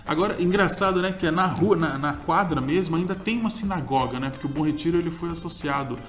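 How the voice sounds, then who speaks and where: A man, chatting casually as a food show co-host. His voice sits a little high, and he often trails off hunting for a name. Soft music plays faintly underneath. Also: fast. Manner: chatty, gropes for names, laughs easily. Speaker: podcast co-host, food reviewer. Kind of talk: casual conversational chat.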